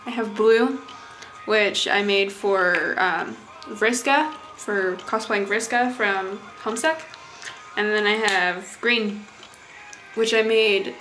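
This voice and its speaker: A woman's voice: mid-range voice, bright, forceful tone, rough recording. A young adult woman rambling casually through a makeup tutorial, muttering offhand as she works in a small bathroom. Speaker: vlogger demonstrating makeup effects. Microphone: camera a short distance away. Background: music playing.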